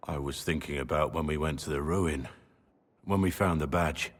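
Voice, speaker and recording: An actor playing a solemn, devoted middle-aged warrior in a video game, speaking earnestly and gravely. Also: male voice, a bass voice, moderate pace. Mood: wistful.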